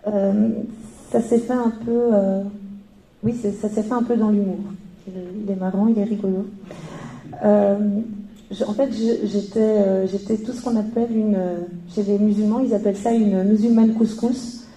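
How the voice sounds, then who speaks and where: Young woman from belgium telling her story, lots of ums, dark mellow voice, roomy echo. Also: mid-range voice, steady tone, moderate pace. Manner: hesitant, many fillers, with wry humor. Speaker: convert giving a testimony. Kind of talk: personal testimony.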